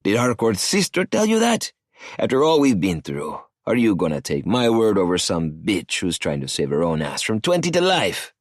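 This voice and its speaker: A man's voice: fairly low pitch, wildly swooping pitch, moderate pace, dry recording, studio quality. A man voicing an arrogant, mocking cartel boss who taunts and sneers, cigar in hand. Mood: sneering.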